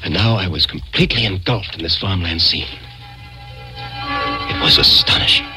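An actor playing an earnest, bewildered man telling his uncanny adventure in an old-time radio drama, dramatic and halting when nervous. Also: deep voice, expressive. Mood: awed, suspenseful. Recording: old-time radio recording with music behind.

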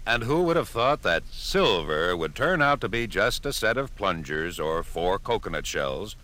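A man's voice: low voice, sing-song, moderate pace, sharp tone, slight background noise. A man giving scripted documentary narration.

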